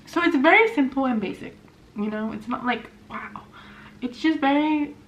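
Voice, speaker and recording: A woman's voice: high-pitched, expressive, moderate pace. A woman in her twenties from California, chatting casually and rambling with lots of fillers while doing her makeup at home. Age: 20s. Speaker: vlogger. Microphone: camera mic. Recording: slightly dull sound with faint hiss.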